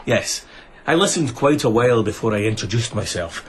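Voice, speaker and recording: A man's voice: mid-range voice, expressive, moderate pace, a little hiss or hum, natural voice. An actor playing a menacing, mocking bandit swordsman in a radio drama, condescending and mock-courteous. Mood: mocking, suspicious.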